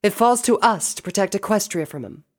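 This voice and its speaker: A man's voice: high voice, expressive, fairly quick, voice pushed up. A man voicing a regal, earnest pony princess in a storybook, formal and intense in manner. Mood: resolute.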